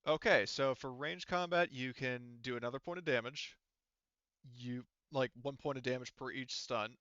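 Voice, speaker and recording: Bright, clear-voiced man running a game. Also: medium pitch, normal speed, no echo, highs cut off. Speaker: game master. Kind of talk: explaining rules in a tabletop roleplaying game.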